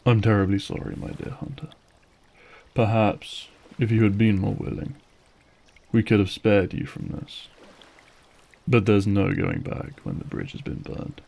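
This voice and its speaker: A man's voice: on the low side, measured pace, gravelly, pauses often, a little mellow. A man acting an undead predator who hunts humans, formal and theatrical, in an audio drama. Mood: cold menace. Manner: formal, theatrical menace giving way to dread. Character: menacing undead male predator who feeds on humans, easily spooked.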